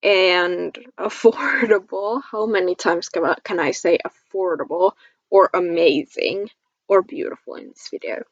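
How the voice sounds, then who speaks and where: A woman's voice: fairly low pitch, measured pace, no room sound, dull audio. A woman from Finland chatting casually about products as a beauty vlogger, in a gushing, giggly manner. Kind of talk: casual product review chat to camera.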